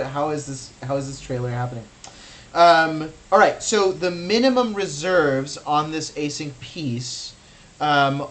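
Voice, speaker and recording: A man's voice: on the high side, poor audio. A young American man, a native Russian speaker, guiding a virtual gallery tour in a chatty, enthusiastic way with many fillers. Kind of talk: casual guided tour.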